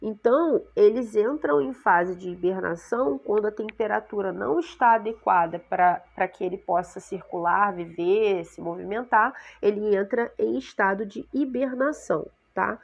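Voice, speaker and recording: A woman's voice: medium pitch, normal speed, vocal fry, hardly any pauses, dull audio. A woman with a Brazilian Portuguese accent teaching a science lesson to sixth graders, congested from a cold and often checking in with her class. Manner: explanatory, checks in with frequent "tá?" and "né?".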